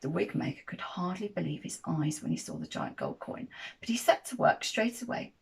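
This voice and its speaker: A woman reading a children's picture book aloud as an expressive storyteller. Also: low voice, fairly quick, vocal fry, slight background noise.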